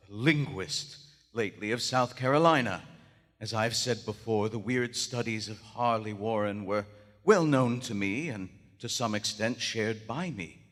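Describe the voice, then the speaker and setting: An actor playing a shaken, haunted writer of weird fiction in a live radio horror play, with formal, archaic, dramatic diction. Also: male voice, medium pitch, fairly bright tone, close to an everyday voice. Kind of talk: live 1920s-style radio horror theater acting. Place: large theater stage, live performance. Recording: live stage in echoing theater hall.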